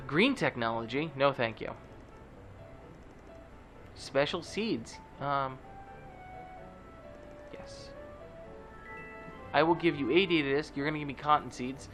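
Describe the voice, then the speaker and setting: A man's voice: medium pitch, slow, long pauses, a bit bright, faint music behind. A man with a stuffy, nasal voice giving casual, joking commentary as a let's play host. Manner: chatty, joking, with many fillers.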